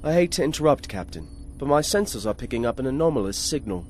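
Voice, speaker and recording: A man voicing a passive-aggressive spaceship artificial intelligence, deadpan and dryly obedient, in a retro radio drama. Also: medium pitch, fast, lightly acted. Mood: matter-of-fact. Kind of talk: 1950s space radio serial acting.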